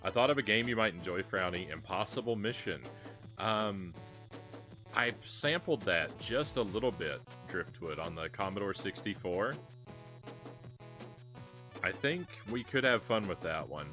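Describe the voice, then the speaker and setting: A man with a low voice talks to his live-stream audience between retro games. He is rambling and self-deprecating, restarting his sentences often. Faint music plays underneath. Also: animated, unhurried. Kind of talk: casual live-stream chat while gaming.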